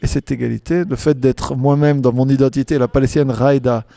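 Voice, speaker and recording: A male conference interpreter with a warm, mellow voice, rendering a panel speaker live in short halting phrases. He often breaks off and corrects himself as he goes. The sound is dry and close, with no room around it. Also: mid-range voice, fairly even intonation, vocal fry, no echo. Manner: halting, with restarts and self-corrections.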